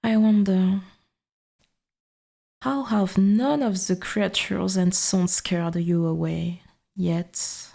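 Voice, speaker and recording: Woman as a slow, murmuring ancient forest goddess. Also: fairly low pitch, warm tone, full character voice. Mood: curious, looming. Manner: slow, breathy half-whisper, archaic formal wording. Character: ancient female forest god, dominant, possessive, quietly menacing. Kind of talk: ASMR audio roleplay.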